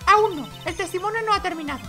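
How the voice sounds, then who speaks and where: A woman's voice: very high voice, fast talker, bright, forceful tone, faint music behind. A woman voicing a confident, encouraging female lawyer mentor in a courtroom video game.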